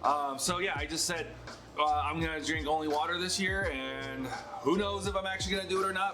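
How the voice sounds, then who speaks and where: A man in his thirties talking casually to the camera in a home office, rambling and correcting himself as he goes. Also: on the high side. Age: 30s. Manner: rambling, filler-heavy and self-correcting. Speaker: business vlogger. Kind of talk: casual talking-head vlog. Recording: echoey room with light music. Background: heater humming.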